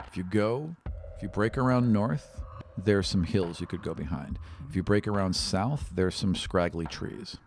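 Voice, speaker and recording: A man's voice: deep voice, normal speed, darkish tone, a little hiss or hum. A man speaking casually as a game master, improvising narration for a tabletop roleplaying game. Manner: casual, conversational.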